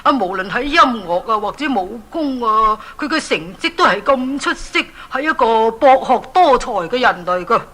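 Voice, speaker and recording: A woman playing a mysterious, all-knowing prophet in a children's radio drama, deferential and ceremonious, with hesitant, drawn-out phrasing. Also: fairly high pitch, animated, fairly bright tone. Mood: reassuring, cryptic.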